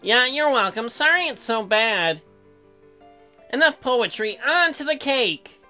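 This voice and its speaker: A woman's voice: a little high, expressive, bright, forceful tone, exaggerated voice. A woman voicing an earnest, apologetic young newlywed husband who is sweet on his wife, reading visual novel dialogue. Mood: sheepish, hurt. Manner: earnest, halting, self-deprecating. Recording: music behind the voice.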